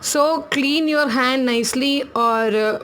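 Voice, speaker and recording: A woman's voice: high voice, a bit bright, a little hiss or hum. Chatty Tamil-accented woman teaching crafts. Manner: chatty, laughs often, repeats instructions slowly. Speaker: craft tutorial host.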